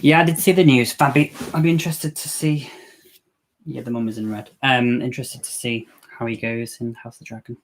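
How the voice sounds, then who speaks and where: A man with a Scouse accent and a gravelly, creaky edge hosts a live watch-along stream. His pitch sits at an ordinary middle level. He talks loosely and jokes as he reacts to the film and answers comments from his viewers. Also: fairly even intonation, a little fast. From England. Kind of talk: casual live-stream chat.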